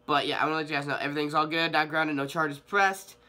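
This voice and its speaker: A young man chatting casually to his viewers in a bright, forward voice that sits a little high. He sounds slightly nasal from a cold. He rambles and hesitates, with frequent ums and false starts. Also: level tone, fast talker. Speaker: vlogger. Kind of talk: casual update vlog.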